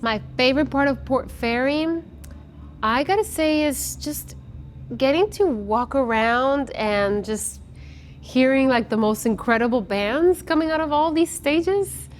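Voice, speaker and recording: A woman's voice: high-pitched, unhurried, slight background noise. A woman in her forties from Guatemala, a musician answering interview questions in a casual, chatty way, often restarting her sentences. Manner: chatty with many restarts and fillers. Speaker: musician guest. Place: outdoors at a festival.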